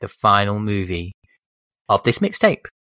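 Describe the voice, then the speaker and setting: A man's voice: on the low side, sing-song, a little slow, pushed and bright, no room sound. Man, podcast co-host, playful announcer tone, dry sound. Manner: playful, mock-announcer delivery. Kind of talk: casual chat.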